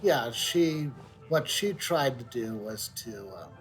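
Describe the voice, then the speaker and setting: A middle-aged man streams a game and thinks aloud at a slow pace. His delivery is casual and halting, circling back over words as he reasons things out. Quiet music plays behind him. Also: medium pitch, animated. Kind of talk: casual unscripted commentary over a video game. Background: soft video game music.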